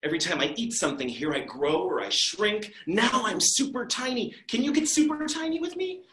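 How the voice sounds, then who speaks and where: A woman's voice: medium pitch, expressive, fairly quick, fairly bright tone, pitched above the performer's own voice, close to an everyday voice. An earnest, puzzled young girl whose voice rises and falls as she exclaims, as a woman storyteller gives her lines. A roomy echo surrounds her.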